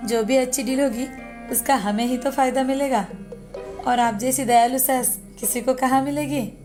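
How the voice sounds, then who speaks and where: High-voiced brazen young daughter-in-law, done by a woman narrator. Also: steady tone, normal speed, warm tone, faint music behind, lightly acted. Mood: brazen, breezy.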